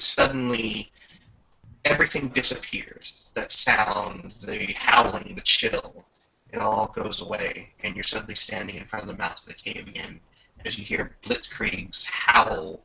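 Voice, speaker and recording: A man narrating a tabletop roleplaying game as game master, casual and descriptive, with a gravelly, husky voice. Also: lowish voice, a little slow, pushed and bright. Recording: muffled lo-fi voice recording.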